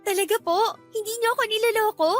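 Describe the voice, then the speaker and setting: Radio drama role: studious teenage niece, very high voice, deferential, earnest, faint music underneath. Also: female voice, quick pace. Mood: disbelieving. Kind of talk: Filipino radio drama acting.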